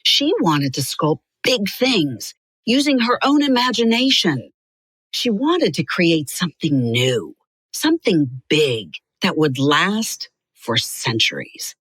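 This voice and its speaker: A woman narrating a scripted children's biography in an expressive, clearly articulated storytelling style. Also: fairly low pitch, slow, no room sound.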